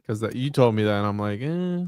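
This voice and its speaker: A man's voice: fairly low pitch, lively intonation, a little fast, darkish tone, slight background noise. A man co-hosting a podcast, chiming in with wry, skeptical questions in casual chat. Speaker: podcast co-host.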